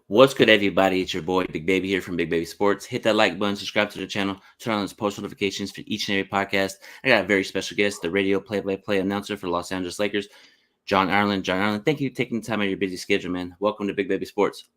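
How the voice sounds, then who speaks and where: Male podcast host, low and fairly level delivery, quick, informal and slangy, casual sports interview. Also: steady tone, fairly bright tone. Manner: informal, slangy, repeats "man".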